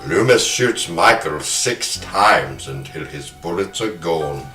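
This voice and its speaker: A man with a low-pitched, forceful voice hosts a film podcast, narrating with dramatic flair. The room rings around him, and there is some music in the recording. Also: expressive, slow pace. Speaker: podcast host. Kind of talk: scripted narration of a film plot and round-table film talk. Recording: roomy echo, faint music.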